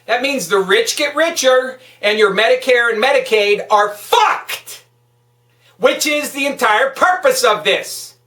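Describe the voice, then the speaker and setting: A woman's voice: fairly high pitch, expressive, measured pace, a bit bright. A woman delivering an angry political rant, emphatic, hectoring and full of swearing. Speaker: political vlogger. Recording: echoey room, distant microphone.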